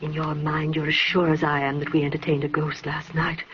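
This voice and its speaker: A woman's voice: sits low, flat delivery, fairly quick, natural voice. An actress playing an anxious, foreboding noblewoman aunt with a dramatic manner in an old radio horror drama. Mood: grave, accusing. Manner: dramatic, ominous. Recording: muffled, hissy old radio broadcast.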